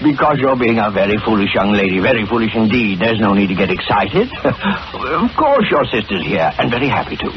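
A man's voice: on the low side, big pitch swings, a little fast, few pauses. An actor playing a falsely polite, sinister elderly man in an old radio thriller, fussy and stammering, repeating himself with mock courtesy. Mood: condescending, amused. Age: older. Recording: muffled, noisy vintage radio recording.

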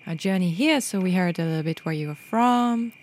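A woman's voice: lowish voice, lively intonation, brisk, darkish tone, slight background noise. Hesitant French-born woman hosting a podcast. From France. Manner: hesitant, many "uh" fillers. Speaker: podcast host. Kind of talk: casual podcast chat. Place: radio studio.

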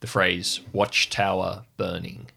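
A man working as game master, narrating a tabletop roleplaying game in measured, descriptive storytelling. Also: sits low, completely flat delivery, gravelly, pushed and bright, husky.

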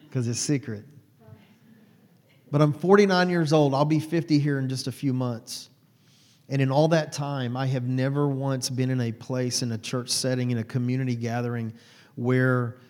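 A man's voice: mid-range voice, darkish tone. A man in his forties giving an informal, rambling sermon to a small group, often stopping to correct himself. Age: 40s. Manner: rambling, self-correcting, many fillers. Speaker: lay preacher. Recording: long hall reverb.

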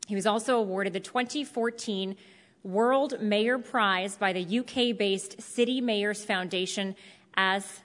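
A woman's voice: medium pitch, slow, a bit bright. A woman, a moderator giving a prepared introduction to an audience. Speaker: moderator, TV news reporter. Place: large indoor auditorium stage. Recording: echoey hall with long reverb.

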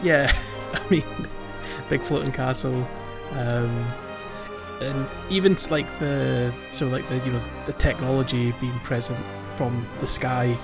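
A man co-hosting a casual podcast discussion, talking in a halting, rambling way and often repeating words. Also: mid-range voice, steady tone, creaky, lo-fi sound, music in the background. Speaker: podcast co-host.

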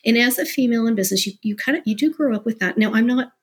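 A woman speaking as an interview guest, talking candidly and discursively about her working life in a conversational, animated way. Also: on the high side, rapid-fire, slightly rough. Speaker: interview guest, business professional.

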